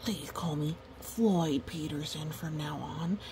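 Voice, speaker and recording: A woman voicing a grumpy, put-upon male monster from a picture book, slow, deliberate and mildly indignant. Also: low-pitched, dark tone, a little hiss or hum.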